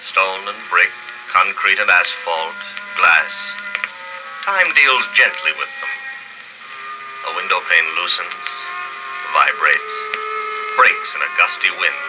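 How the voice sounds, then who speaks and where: An actor playing a detached observer chronicling mankind's end, with solemn, clinical, declamatory 1950s radio drama narration. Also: male voice, sits low, expressive, takes it slowly, pauses often, music in the background, poor audio. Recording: old radio recording, faint noise.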